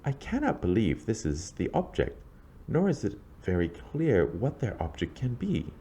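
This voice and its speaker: A man reading scripted historical narration in a measured, formal, scholarly manner. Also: fairly low pitch, wildly swooping pitch, warm tone, slight background noise. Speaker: lecturer reading own introduction.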